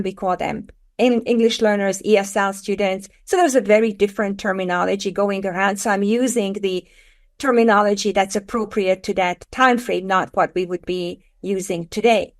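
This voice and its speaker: A woman's voice: medium pitch, vocal fry. Hungarian accent lady on a podcast, earnest, careful, middle-aged. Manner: earnest, measured explanations. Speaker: guest, education author. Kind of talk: podcast interview.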